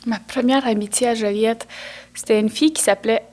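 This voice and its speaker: A woman's voice: on the high side, fairly even intonation, a little mellow. A young woman from Quebec whose first language is Atikamekw, telling stories as a podcast guest with a Quebec French accent and many hesitations. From Atikamekw community of Manawan, Quebec. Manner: halting, with frequent hesitations and restarts. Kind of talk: casual conversational storytelling.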